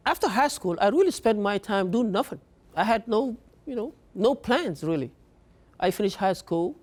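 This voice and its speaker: High-voiced man from Africa, earnest and halting. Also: a little mellow. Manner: earnest, halting, repeats words. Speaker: speaker. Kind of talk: personal story talk.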